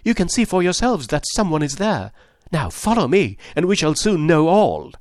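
A man's voice: mid-range voice, sing-song, normal speed, no room sound, close to an everyday voice. Man playing an agitated, stern husband. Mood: stern, frantic. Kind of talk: audiobook character voice.

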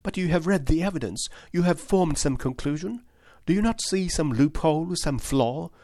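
A man's voice: on the high side, expressive, normal speed, darkish tone, no room sound, studio quality, pitched above the performer's own voice. A man reading aloud, voicing an earnest, distressed young woman who pleads insistently in a raised voice. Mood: earnest pleading.

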